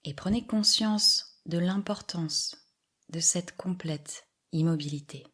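Very slow, husky-soft woman guiding relaxation. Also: fairly low pitch. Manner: soft, breathy, soothing delivery with long pauses. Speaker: yoga nidra relaxation guide. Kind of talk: guided meditation, slow scripted instruction.

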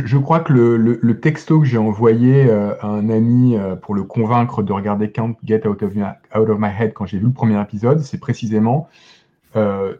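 A man's voice: mid-range voice, warm tone, highs cut off. A man in a casual podcast discussion as a guest critic, hesitating and repeating words as he searches for them.

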